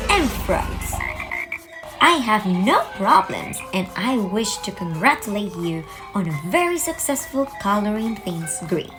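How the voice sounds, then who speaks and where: A woman voicing a happy, diplomatic green crayon in an earnest, polite storybook style. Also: medium pitch. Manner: earnest, formal. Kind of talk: storybook read-aloud.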